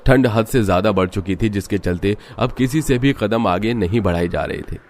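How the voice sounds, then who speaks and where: Casting: male narrator for cartoon tales, lowish pitch, dramatic scripted delivery. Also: moderate pace, noisy background.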